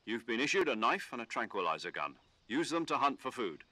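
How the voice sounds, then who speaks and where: A military commander who briefs his agent in a measured, instructive way. His voice is crisp and bright, recorded close and dry with no room around it. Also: mid-range voice, very animated, no echo, exaggerated voice. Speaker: game character.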